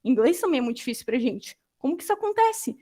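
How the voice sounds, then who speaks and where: A young adult woman giving an informal lecture, animated and acting out quoted reactions. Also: high voice, fast.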